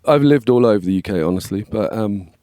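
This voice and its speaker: An English man in his forties with a slightly scratchy voice, chatting casually as a podcast guest and telling stories in a relaxed way. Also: on the low side, brisk, no echo, clean studio sound. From England. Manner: relaxed, storytelling, frequent "yeah, man". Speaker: guest, worship label manager. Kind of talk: casual conversation.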